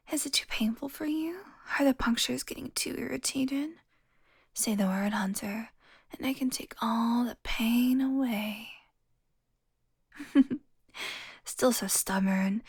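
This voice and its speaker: A seductive vampire, acted by a woman, speaking close and intimately to a single listener. Her fairly high voice moves slowly and often turns breathy and whispery. She teases smugly, with a tender streak toward her prey, in the manner of an audio roleplay. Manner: breathy whispers, slow sultry teasing.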